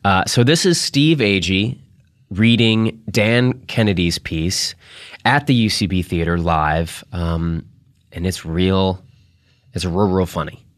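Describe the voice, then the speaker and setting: A man hosting a podcast, introducing material in a casual, halting, informal way. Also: deep voice, no room sound, clean studio sound. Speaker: podcast host.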